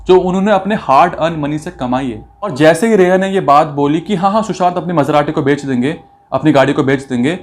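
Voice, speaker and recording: Male commentator, voice a bit high, indignant and accusatory, rhetorical, drops in English phrases. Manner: mixes English phrases into speech. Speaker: video commentator. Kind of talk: opinionated commentary on a recording.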